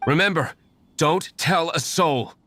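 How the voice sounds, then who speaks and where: A man voicing an aloof, brooding young nobleman and secret hero in a video game, formal, curt and deadpan. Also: fairly high pitch, takes it slowly, slight background noise. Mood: stern. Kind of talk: anime-style video game voice acting.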